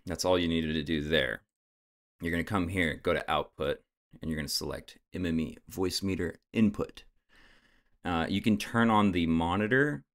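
Young guy explaining a pc setup, deep voice, laid back, lots of uhs. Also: a bit bright. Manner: casual, step-by-step, with fillers like "uh". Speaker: tech tutorial host. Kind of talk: screen-recorded software tutorial.

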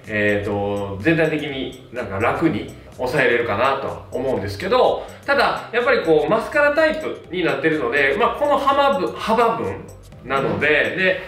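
A man in his thirties, a hairstylist, casually reviewing hair products with a relaxed, filler-heavy manner. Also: a little high, moderate pace, recorded from a distance. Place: small plain indoor studio. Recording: roomy sound, mic set back, faint music.

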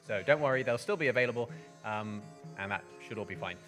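Low-voiced man, expressive storyteller. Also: fast, faint music behind. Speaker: storyteller, live performer. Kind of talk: dramatic live book reading. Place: indoor book-lined venue before a small live audience.

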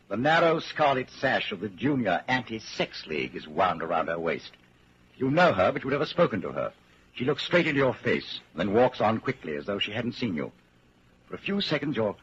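A deep, grave narrator from a 1940s radio play, portentous and dramatic, with wide swings of pitch. The recording is muffled, with some hiss. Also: male voice. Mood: tense, ominous. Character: ominous male narrator addressing the hero as you.

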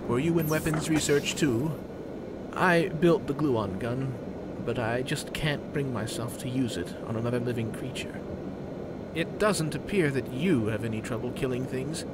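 A man playing a gentle, remorseful weapons scientist in a video game, hesitant and pleading in manner. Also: medium pitch, moderate pace, natural voice. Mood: regretful. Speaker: game character. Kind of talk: video game dialogue acting. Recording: loud mechanical background hum and noise.

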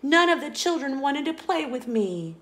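Little girl T-Rex, read by a woman, high childlike voice, wide-eyed, lively pitch, roomy echo. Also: moderate pace. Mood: sheepish.

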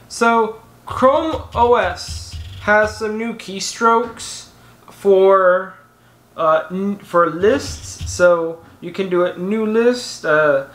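A man's voice: sits high, a bit bright. A young man rambling casually through a tech recap on his channel, correcting himself often and using lots of fillers. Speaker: tech vlogger. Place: indoor room in front of a banner. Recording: echoey room.

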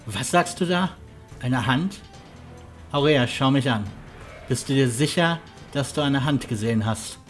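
A man acts a huntsman guide in a fantasy radio play, concerned and a little sceptical. His voice is medium in pitch and measured, with background music underneath. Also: close to an everyday voice. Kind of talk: fan-made fantasy audio drama acting.

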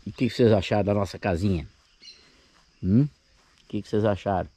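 A man's voice: on the low side, a little fast, warm tone. A man in his sixties with a Brazilian accent, narrating a casual camping vlog outdoors. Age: 60s. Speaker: camping vlogger. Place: outdoors on a grassy lakeside campsite.

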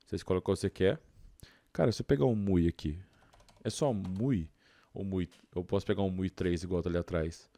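A man's voice: deep voice, brisk, dark tone, no room sound. A young man with a Brazilian accent giving casual gameplay commentary, informal and slangy, thinking aloud. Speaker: gaming video host.

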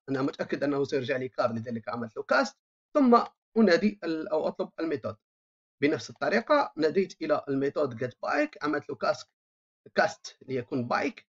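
Hesitant, lively-pitched instructor. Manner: hesitant, frequent drawn-out filler sounds, thinking aloud while typing. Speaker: programming instructor. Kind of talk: screen-recorded coding tutorial. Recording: dull lo-fi voice-over mic.

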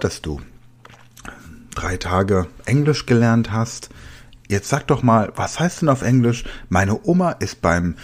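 Husky-voiced German male podcast coach. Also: on the low side, a little mellow. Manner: coaching, direct address, conversational asides. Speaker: podcast host and language trainer. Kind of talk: solo podcast monologue.

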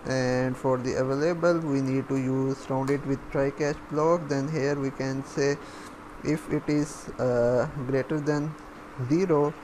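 A man giving a coding screencast tutorial, dictating step by step in short, halting phrases. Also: mid-range voice. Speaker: programming tutorial instructor. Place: voice-over for a screen recording. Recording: clear steady background noise.

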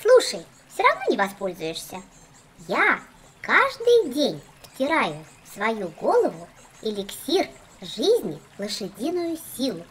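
A woman's voice: a little high, wildly swooping pitch, unhurried, a bit bright, a little hiss or hum, full character voice. A woman voicing a fussy, boastful little doll girl in a children's cartoon, theatrical and condescending. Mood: smug teasing.

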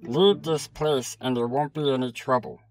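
A man's voice: medium pitch, steady tone, moderate pace, faint music behind, close to an everyday voice, rough recording. A man voicing an earnest young dragon in a storybook. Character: young dragon growing brave.

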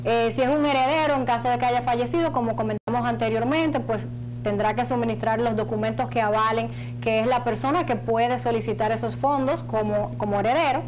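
High-voiced woman explaining. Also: brisk, faint music behind, low-quality recording. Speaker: financial agency spokeswoman, studio guest. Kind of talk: explanatory interview talk. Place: small indoor TV studio.

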